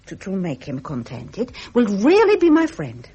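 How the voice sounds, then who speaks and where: Gracious devoted princess, husky, lively intonation, earnest and refined, 1970s radio drama. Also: female voice, mid-range voice, moderate pace, muffled recording, exaggerated voice. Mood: serene devotion.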